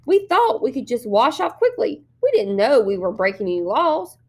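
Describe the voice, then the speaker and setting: A woman voicing a sweet, childish little girl who pleads innocence with a crafty, drawn-out politeness, in a storybook reading. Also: a little high, wildly swooping pitch, a little mellow, pitched above the performer's own voice, full character voice. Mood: sweetly pleading.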